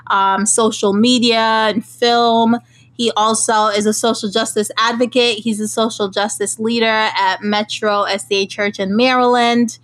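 امرأة من ترينيداد تقدّم بودكاست. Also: female voice, a little high, a bit bright. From Trinidad and Tobago. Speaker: podcast host. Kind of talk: casual interview.

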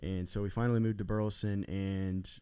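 A man's voice: fairly low pitch, little pitch movement, fairly quick, darkish tone, clean tone. A man from Arlington, Texas, who does church production, talking in a rambling, hesitant way in casual podcast chat. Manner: rambling, hesitant, many fillers.

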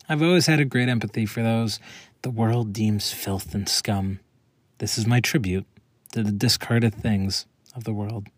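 A man's voice: fairly low pitch, unhurried, dry recording. A man who is a poet, reading his own prepared words aloud. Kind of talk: scripted introduction and poetry recitation.

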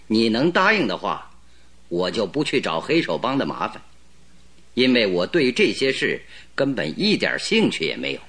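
A shrewd, self-assured detective from an old radio play. He presses and mocks with cool precision, his bright voice rising and falling in lively swings. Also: fairly low pitch, moderate pace, slightly rough, full character voice. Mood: coolly taunting. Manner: probing, mocking, coolly pressing. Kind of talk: radio drama acting.

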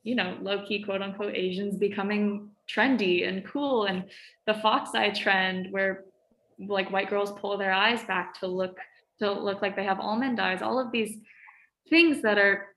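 A woman's voice: medium pitch, a bit bright, slight background noise. Chatty teenage girl in an echoey room. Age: teens. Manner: chatty, frequent fillers, laughs between phrases. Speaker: student. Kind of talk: casual conversation.

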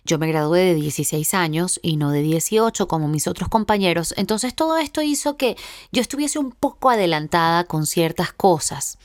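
A woman's voice: fairly low pitch, animated, moderate pace, no room sound, clean studio sound. A young woman, hosting her own podcast in a heartfelt, reflective personal monologue. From Venezuela. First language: Spanish. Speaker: podcast host.

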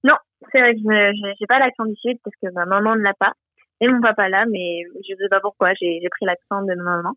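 A woman's voice: mid-range voice, fairly even intonation, fairly quick, no echo. A teenage girl from southern France, a guest in a radio interview, talking cheerfully with many hesitations and restarts over the phone. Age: teens. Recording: tinny phone line.

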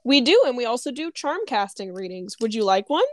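A woman's voice: fairly high pitch, animated, fairly quick, slight background noise. Chatty giggly woman tarot reader. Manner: chatty, giggly, self-conscious. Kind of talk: casual live audio chat.

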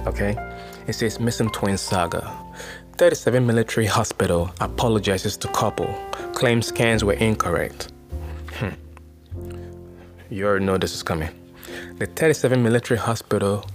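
Ghanaian male podcast host, lowish voice, reads the news and then sounds off on it, light music underneath. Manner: reads news aloud then reacts with exclamations. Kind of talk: casual podcast commentary reading news.

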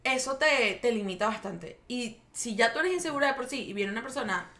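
A young woman with a Venezuelan Spanish accent talks in a loose, filler-heavy, laughing way. Her voice is bright and sits a little high. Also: animated, fairly quick. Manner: chatty, full of fillers, laughs often. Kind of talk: casual chat.

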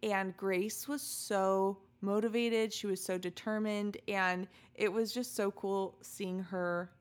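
A young woman co-hosts a casual podcast, gently drawing her friend out with questions. Her voice sits at an ordinary pitch and her manner is warm and encouraging. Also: fairly even intonation, takes it slowly. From California, USA. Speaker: podcast co-host. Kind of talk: casual chat.

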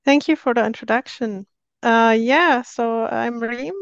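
A woman in her thirties from Syria, a software engineer and guest, speaking carefully in an interview with many pauses and fillers. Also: a little high, dry recording, muffled recording. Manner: hesitant, many fillers, careful non-native phrasing. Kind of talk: podcast interview.

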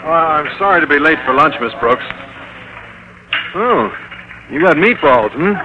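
An actor playing a mild, oblivious American schoolteacher in an old-time radio comedy. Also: male voice, fairly high pitch, lively intonation, measured pace. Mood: mild, earnest. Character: mild, oblivious, thrifty adult male schoolteacher. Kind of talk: 1940s-50s radio comedy acting. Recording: muffled, noisy vintage radio recording.

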